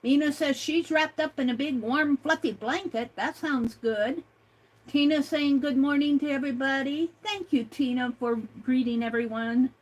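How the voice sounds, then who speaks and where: An older woman hosting an art livestream, chatting slowly and reading out viewer comments, with many fillers and restarts. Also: sits high, poor audio. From United States.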